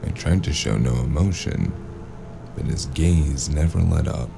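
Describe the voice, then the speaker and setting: A man playing a wary amnesiac drifter in a noir audio drama, hesitant and wry, with a very deep, gravelly voice. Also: a little slow, noisy background, heavily acted. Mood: brooding. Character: wary amnesiac male drifter narrating his noir tale. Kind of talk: noir audio drama acting and first-person narration.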